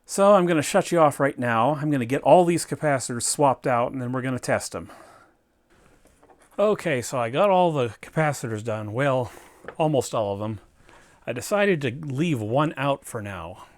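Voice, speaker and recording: A man with a mid-pitched voice narrates hands-on repair work in a casual way. He thinks aloud step by step and speaks directly to the viewer, as the host of a do-it-yourself electronics video. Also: expressive, moderate pace.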